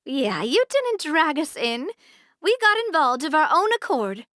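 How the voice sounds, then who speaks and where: A woman's voice: high-pitched, very animated, a little slow, pushed and bright, dry recording, studio quality, heavily acted. A woman voicing an eager, bubbly young female reporter in a video game. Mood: cheerful. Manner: bubbly, stammers when thrilled.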